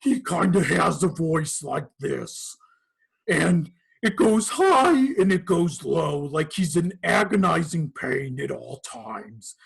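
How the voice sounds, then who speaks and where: A man's voice: animated, slow pace, gravelly, breathy edge, full character voice. Man putting on an agonized mutant alligator man. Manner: strained, agonized, swooping high and low. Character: pained radioactive mutant man-alligator, male cartoonish character.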